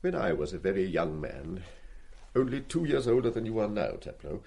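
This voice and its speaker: Husky, pedantic schoolmaster. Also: male voice, on the low side, very animated, normal speed, darkish tone, full character voice. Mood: wistful, measured. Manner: precise, pedantic, formal diction. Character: reserved, formal, ageing classics schoolmaster, dry and wounded. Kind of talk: radio play acting.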